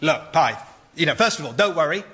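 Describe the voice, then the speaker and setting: A man's voice: fairly high pitch, lively intonation, brisk, sharp tone, husky, heavily acted. An actor playing a gushing, pushy agent in a radio comedy sketch, effusive and punchy like a salesman. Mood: coaxing, flattering. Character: gushing, pushy male agent peddling mathematical theorems.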